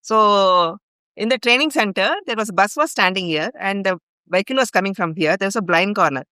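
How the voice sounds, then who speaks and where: A woman's voice: mid-range voice, fairly quick, pushed and bright, no room sound, studio quality. A middle-aged woman from India with an Indian English accent, earnestly telling a long personal story as a podcast guest. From India (grew up in Bhopal). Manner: earnest, recounts events in long chains with fillers. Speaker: guest, longtime ashram volunteer.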